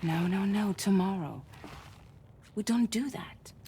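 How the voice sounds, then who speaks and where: A woman playing a patient, caring figure in a video game cutscene, speaking gently. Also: medium pitch, slow, slight background noise. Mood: gently concerned. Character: patient caring woman looking after a newcomer. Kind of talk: scripted game cutscene dialogue.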